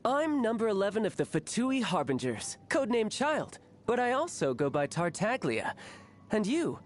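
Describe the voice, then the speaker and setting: A man's voice: sits high, very animated, normal speed. A man playing a cocky, cheerful young warrior in a video game, with a playful, confident, theatrical delivery. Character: cocky, cheerful young swordsman who relishes battle.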